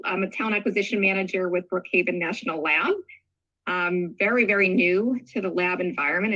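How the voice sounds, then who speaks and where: A woman's voice: on the low side, a bit bright, no room sound, lo-fi sound. A woman from Long Island, a talent acquisition manager, introducing herself upbeat with small laughs. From Long Island, United States.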